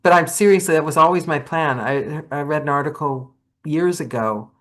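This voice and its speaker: Low-voiced woman host, playful and laughing, casual video-call interview. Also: normal speed. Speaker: podcast host.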